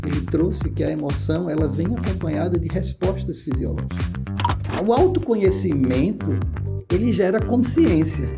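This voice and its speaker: Creaky-voiced northeastern Brazilian man. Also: high-pitched. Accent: northeastern Brazilian, Pernambuco. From Pernambuco, northeastern Brazil. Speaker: guest expert, oncologist. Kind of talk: conversational podcast explanation.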